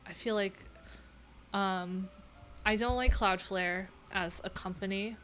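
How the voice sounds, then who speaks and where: A woman's voice: mid-range voice, steady tone, slow pace, fairly bright tone, faint music behind. A middle-aged woman hosting a casual livestream, thinking aloud and reading from her screen with wry, offhand asides. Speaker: livestream host and web developer. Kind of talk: casual live coding stream chat, thinking aloud. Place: small indoor room at home.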